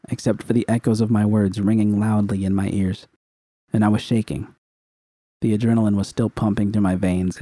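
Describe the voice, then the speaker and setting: A man's voice: fairly low pitch, dark tone, dry recording. A man reading dramatic first-person fiction as an audiobook narrator.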